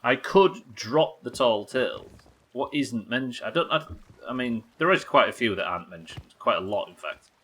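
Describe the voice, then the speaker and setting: A man with a bright, forceful voice at an ordinary male pitch. He commentates casually as a game streamer, musing out loud and often questioning his own reasoning. The talk is informal and a bit sweary. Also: expressive, normal speed, slightly rough. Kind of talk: casual gaming livestream commentary, thinking aloud.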